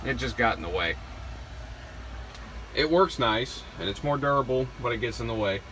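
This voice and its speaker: A man giving a casual hands-on gear walkthrough, plain-spoken and halting, pausing between short phrases. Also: medium pitch, low-quality recording. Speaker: truck driver showing his CB radio setup. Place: inside a truck cab. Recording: steady vehicle and air-conditioning noise behind.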